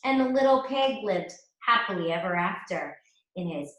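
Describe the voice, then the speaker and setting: A woman teacher reading a fairy tale aloud to children, slowly and expressively. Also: medium pitch, lively intonation, a bit bright. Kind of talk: read-aloud storytelling. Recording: echoey room.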